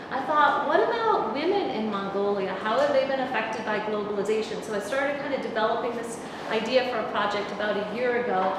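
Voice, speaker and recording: A middle-aged woman lectures in a lively, conversational way, projecting her fairly high voice across a conference room with no microphone. She is picked up from a distance, so her voice rings with a long hall reverb over clear background noise. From United States. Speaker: university professor giving a talk. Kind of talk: public lecture. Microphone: far camera, no microphone.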